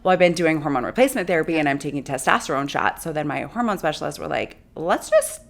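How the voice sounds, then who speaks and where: A woman, hosting a podcast. She is hoarse from a long illness, and her deep, raspy voice has a lot of vocal fry. She chats easily and laughs often. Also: animated, fast talker. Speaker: podcast host. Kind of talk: casual chat and read ads.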